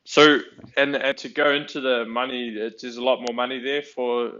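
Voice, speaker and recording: A man interviewing someone in a casual chat, asking loose, halting questions that tend to trail off. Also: mid-range voice, little pitch movement, sharp tone. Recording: dull lo-fi audio.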